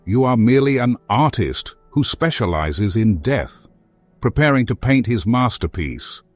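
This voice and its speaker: A man's voice: medium pitch, very animated, unhurried, a little mellow, dry recording, faint music behind. A man voicing a defeated fantasy adversary in a video game, with solemn, florid, philosophical and resigned speech. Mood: solemn, challenging.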